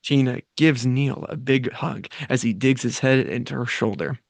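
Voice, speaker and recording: A man with a middling pitch reads stage directions as scripted narration. The sound is close and dry. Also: normal speed, vocal fry, no room sound.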